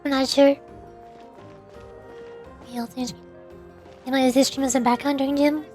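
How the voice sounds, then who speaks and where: A woman's voice: high-pitched, level tone, normal speed, darkish tone, soft background music. A woman livestreamer chatting casually over a game in a playful, theatrical way. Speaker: vtuber streamer.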